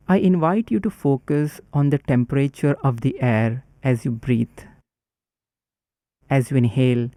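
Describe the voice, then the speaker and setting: A man guiding a breathing meditation in a calm, instructive way. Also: mid-range voice, no echo, studio quality. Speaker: meditation guide.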